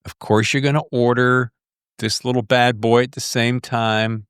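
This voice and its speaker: A man's voice: mid-range voice, flat delivery, unhurried, a bit bright, clear, resonant tone, dry recording, clean studio sound. A man hosting a podcast, chatting casually in a chummy, effusive, emphatic way with plenty of slang. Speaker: podcast host. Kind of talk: casual interview chat, addressing guest and listeners.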